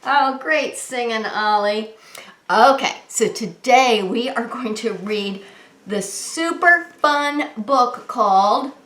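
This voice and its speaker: A woman's voice: a little high, bright, forceful tone. A woman hosting story time as a preschool teacher, talking simply and expressively to small children with frequent questions. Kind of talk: children's read-aloud and singalong. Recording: roomy, echoey room sound.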